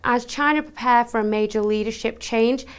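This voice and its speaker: Female news interviewer, smooth and fairly high voice, formal scripted questions. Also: normal speed. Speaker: TV journalist, interviewer.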